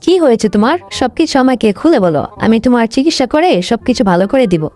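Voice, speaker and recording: A flirtatious anime healer and leader, played by a woman, speaks briskly in a fairly high female voice. She coaxes suggestively in a comic parody dub, with faint music behind her. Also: very animated, warm tone. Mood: coaxing, teasing.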